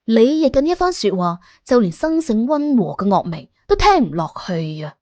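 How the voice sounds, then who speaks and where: A woman narrating a suspense audiobook in an expressive, colloquial storytelling style. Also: on the high side, normal speed, dry recording. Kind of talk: scripted suspense storytelling in Cantonese. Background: music under the voice.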